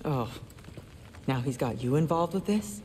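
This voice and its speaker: A woman's voice: low voice, expressive, measured pace, mellow. Suspicious warrior mother, middle-aged, stern, protective, curt disapproving delivery, game cutscene, noisy ambience. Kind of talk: video game cutscene acting.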